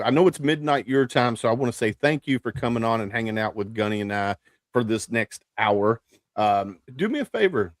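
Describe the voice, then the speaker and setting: A man's voice: medium pitch, dry recording, studio quality. A man hosting a podcast, upbeat and chatty, laying out the topics with many fillers. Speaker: podcast host. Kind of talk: casual hosting chat.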